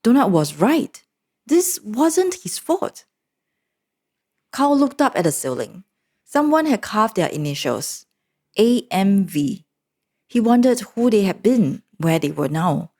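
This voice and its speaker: A woman narrating a story recap in an expressive, animated storytelling manner. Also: mid-range voice, slow, pauses often.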